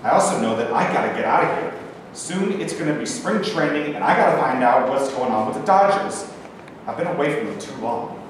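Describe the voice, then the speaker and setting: Brash, argumentative American POW, lively swings in pitch, stage reading in a reverberant hall, played by a young man. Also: brisk, close to an everyday voice. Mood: feisty. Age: young adult. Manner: combative, slangy wise guy. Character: brash, stubborn American POW, Brooklyn Dodgers fan. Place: indoor rehearsal room, camera mic at a distance. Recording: very echoey room, distant mic, faint noise.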